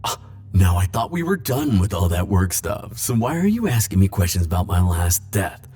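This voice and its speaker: A man playing a defensive, lovelorn immortal in an ASMR roleplay, speaking hesitantly with stammers and halting phrases. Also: deep voice, sing-song, dark tone. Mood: playful, chatty. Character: flirtatious, defensive male immortal pining for Grim Reaper. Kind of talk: ASMR roleplay monologue to the listener.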